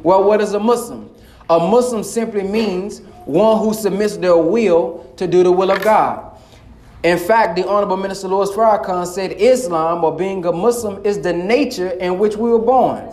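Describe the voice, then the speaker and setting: A man's voice: high voice, moderate pace, a little mellow. A man preaching to a live audience in an impassioned, colloquial way. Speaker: minister. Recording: echoey hall.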